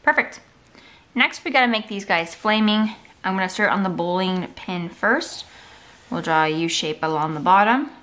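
A woman's voice: medium pitch, animated, moderate pace, a bit bright. A woman art teacher giving a step-by-step drawing tutorial for children, in simple, encouraging instructional phrases.

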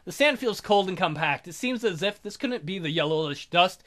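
A man's voice: sits high, animated, moderate pace, fairly bright tone. A man hosting a let's play, reading a visual novel aloud and adding crude, irreverent asides. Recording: voice over game music. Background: game music.

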